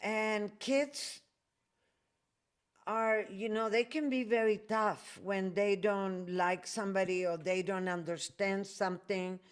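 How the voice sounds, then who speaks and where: A woman raised in Chile and Peru, hosting a podcast in a reflective way and often pausing to find her words. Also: mid-range voice, steady tone, clean tone. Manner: slow, reflective, searching for words. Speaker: podcast host. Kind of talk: conversational interview.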